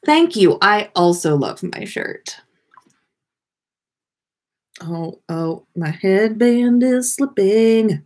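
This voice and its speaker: Lively, unhurried woman chatting on a livestream. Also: medium pitch. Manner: playful, rambling, thinking aloud. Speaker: livestream podcast host. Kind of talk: casual livestream chat with viewers. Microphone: webcam livestream setup.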